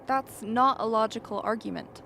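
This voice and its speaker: A woman's voice: on the high side, moderate pace, gravelly. A woman playing a rational, argumentative scientist in a radio play, lecturing precisely with dry mockery. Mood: firm. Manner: lecturing, precise, dryly mocking. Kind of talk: dramatic radio play acting. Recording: faint steady background noise.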